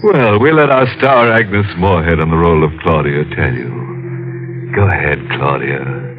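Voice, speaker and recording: A man's voice: deep voice, lively intonation, unhurried, over music. A man announcing a 1940s radio drama in a theatrical, teasing manner, on a muffled old broadcast.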